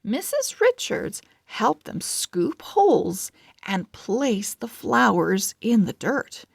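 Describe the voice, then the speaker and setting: A woman reading a children's storybook aloud as host, with deliberate, expressive phrasing for young readers. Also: a little high, creaky, breathy edge, no echo, studio quality. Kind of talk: slow read-along storybook narration.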